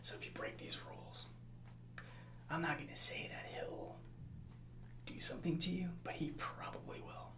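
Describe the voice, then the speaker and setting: A man's voice: a little high, level tone, moderate pace, long pauses, fairly bright tone. A man acts out a mild, easily wounded right-hand man to a post-apocalyptic gang boss. He whispers and murmurs in ASMR roleplay style, stumbling over his words as he placates. The sound is roomy, with some echo around the voice. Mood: instructive, earnest. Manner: hesitant, stammering, mild-mannered, placating. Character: nice, easily hurt male assistant to a post-apocalyptic gang leader.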